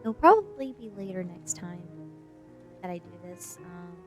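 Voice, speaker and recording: Female ASMR streamer, low register, book-reading channel, faint music underneath. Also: sing-song, moderate pace. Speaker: streamer reading a novel aloud. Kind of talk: ASMR book reading, dramatic first-person narration; casual chat to stream viewers.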